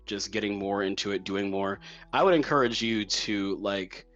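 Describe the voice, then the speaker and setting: A man's voice: fairly low pitch, a bit bright. A young man chatting casually and easily as a podcast interviewer. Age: young adult. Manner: chatty, quick to laugh.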